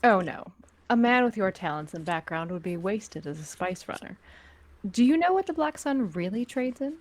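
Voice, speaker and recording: Female syndicate spymaster, played by a woman: medium pitch, controlled, superior, threatening under polite words, sci-fi drama. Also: very animated, normal speed, close to an everyday voice. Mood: cool, knowing. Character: cool, menacing crime syndicate spymaster, a woman in command. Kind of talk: script table read of sci-fi fan drama.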